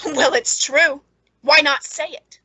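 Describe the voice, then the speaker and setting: A woman's voice: sits high, steady tone, takes it slowly, bright, forceful tone, no room sound, heavily acted. A woman playing a lonely, frightened, unstable spinster in an old-time radio suspense drama, with a nervous, intense manner. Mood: bitter, brooding.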